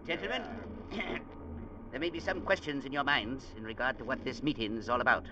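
Gruff, stubborn ship's captain , male actor, blunt and folksy, mid-pitched, old radio adventure style, heavy broadcast noise. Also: dull audio, natural voice. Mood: firm, deliberate. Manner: blunt, salty, folksy speech with deliberate emphasis. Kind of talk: 1940s-50s radio drama acting.

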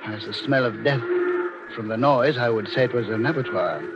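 An actor playing a grave, secretive old doctor and protective grandfather in a 1940s radio mystery, speaking in a measured, hesitant, gently authoritative way. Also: medium pitch, over loud music, lightly acted. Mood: somber, measured. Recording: muffled, noisy old 1940s radio recording.

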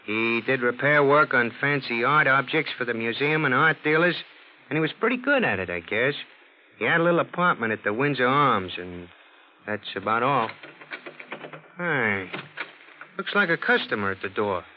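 An actor playing a dim, self-satisfied police sergeant in a 1940s radio drama, with slangy, ungrammatical talk. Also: male voice, medium pitch, lively intonation, moderate pace, close to an everyday voice. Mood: proud, plain-spoken. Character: dim, cocky, uneducated police sergeant, comic sidekick. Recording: dull, noisy vintage radio recording.

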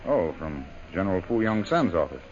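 A man's voice: deep voice, sing-song, lo-fi sound, a little hiss or hum. An actor playing a brawny, hot-headed male jungle hunter, bluff and hearty, with a faded Texas accent, in a 1930s radio drama. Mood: friendly. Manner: bluff and hearty, quick to anger.

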